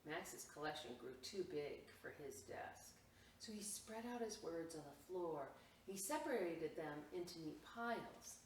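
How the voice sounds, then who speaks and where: A woman reading a picture book aloud to children as a storytime reader, expressively and with pauses, adding simple explanations for young listeners. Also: sits low. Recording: roomy, distant mic, faint noise.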